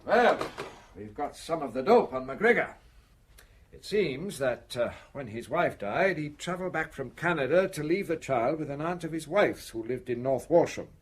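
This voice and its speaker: A man acting a police inspector in a radio play, his pitch moving freely as he probes. He is brisk and quietly suspicious, pausing with little ums before he presses on. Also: lively intonation, slight background noise, close to an everyday voice. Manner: questioning, hesitant pauses with ums. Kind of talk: British radio drama dialogue.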